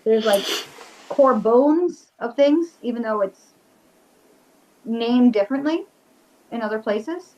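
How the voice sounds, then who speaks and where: A woman's voice: fairly high pitch, expressive, slow pace, pauses often, mellow, muffled recording. Eager chatty woman, Rhode Island accent. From Rhode Island, USA. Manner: chatty and eager, many "like" fillers. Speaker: guest, witch and paranormal investigator. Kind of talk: casual video-call interview chat.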